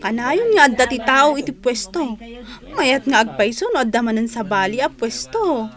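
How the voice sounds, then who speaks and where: A woman's voice: on the high side, big pitch swings, normal speed, fairly bright tone. A woman playing a chatty, opinionated market woman in an Ilocano radio drama.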